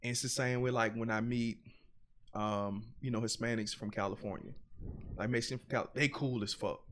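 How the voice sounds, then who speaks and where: A man from Buffalo holds forth as a podcast guest, blunt and profane, piling one point on top of the next. His voice sits at an everyday middle pitch, and he tells his stories with lively, animated swings. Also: moderate pace, a little hiss or hum. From Buffalo, New York. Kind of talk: casual podcast conversation.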